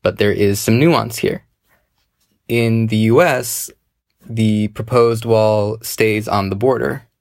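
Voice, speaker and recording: A man's voice: fairly low pitch, no room sound, studio quality. Analytical man leaving a voicemail. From United States. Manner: measured, analytical, with some hesitant restarts. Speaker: listener calling in a voicemail. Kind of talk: prepared voicemail commentary. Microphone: phone voicemail.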